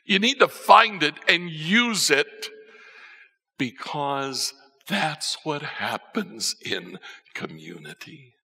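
An older man preaching a sermon from a church stage, telling stories and swinging between soft and emphatic lines. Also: on the high side, sing-song, slow pace, husky. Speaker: pastor. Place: church stage with band instruments. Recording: church hall reverb.

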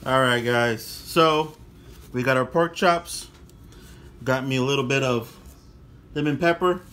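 A man's voice: mid-range voice, measured pace, a bit bright. A middle-aged man talking casually to viewers while he cooks dinner in his home kitchen, filmed on a handheld phone. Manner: laid-back, chatty, talks to viewers as "guys". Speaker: home cooking vlogger. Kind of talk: casual cooking vlog. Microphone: phone camera held while cooking. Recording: phone recording with cooking noise. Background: frying sizzle and kitchen noise.

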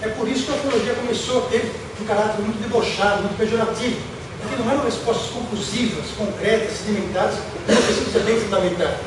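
A middle-aged Brazilian man giving a public lecture in a deliberate, emphatic way, posing rhetorical questions and listing points. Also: high-pitched. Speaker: lecturer, former UFO researcher. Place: auditorium stage, indoors. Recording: echoey hall from far off, noisy.

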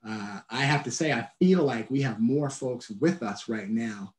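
A middle-aged man, a transit agency leader, answering questions on a video call in a measured way, restarting phrases and filling pauses with "uh". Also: mid-range voice. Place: office at home or work. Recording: echoey room on a video call.

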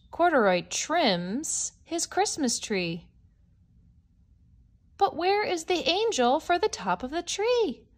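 A woman reading a picture book aloud to young children, explaining simply like a teacher, with expressive stretched words. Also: high voice. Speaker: storybook read-aloud presenter.

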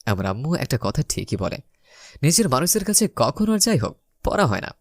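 A man's voice: medium pitch, very animated, brisk, dry recording, studio quality. A young man narrating a romantic comedy story in the first person, theatrically acting out the lines. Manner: theatrical, acts out his lines.

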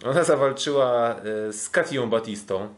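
A man hosting a podcast, giving a casual sports recap in a halting way with frequent filled pauses. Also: medium pitch. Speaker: podcast host.

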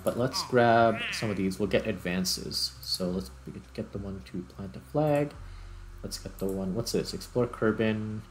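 A man giving casual gameplay commentary as host, thinking aloud in broken, halting phrases. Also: on the low side. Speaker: gaming video host. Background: music.

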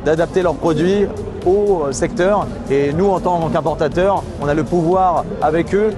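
A man's voice: on the high side, normal speed. A man, a motorcycle importer, answering interview questions hesitantly with many fillers. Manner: hesitant, full of "euh". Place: busy exhibition hall. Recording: music in background.

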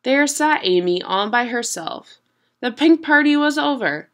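A young adult woman reading a children's picture book aloud at home in an expressive, deliberate storytelling manner. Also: high voice. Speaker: storybook reader. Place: small room at home.

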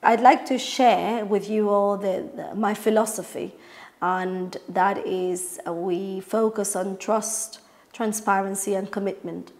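A woman's voice: medium pitch, fairly even intonation, slow pace, a little mellow. Middle-aged Colombian woman explaining her business. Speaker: real estate agent, family business owner. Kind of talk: promotional company talk to camera. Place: living room, on a sofa indoors.

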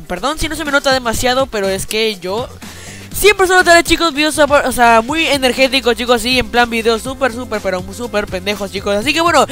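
A man's voice: extremely high pitch, pushed and bright. A teenage boy, talking over his gameplay in casual, slangy chat, saying he feels a bit unwell and peppering every sentence with "chicos". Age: teens. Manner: slangy, repeats "chicos" constantly. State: feeling unwell, a bit weak. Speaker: gaming YouTuber. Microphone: headset.

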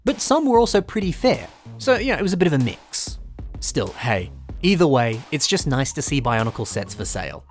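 Aussie dude chatting over his toy shopping vlog, bouncy pitch, faint music under it. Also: very animated, a little fast. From Australia. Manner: chatty, opinionated about prices. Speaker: toy collector vlogger.

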